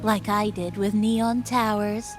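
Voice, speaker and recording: A woman's voice: medium pitch, level tone, slow pace, over music, natural voice. A woman playing a playful, knowing tinkerer and guide in a video game, chirpy and instructive. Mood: thoughtful.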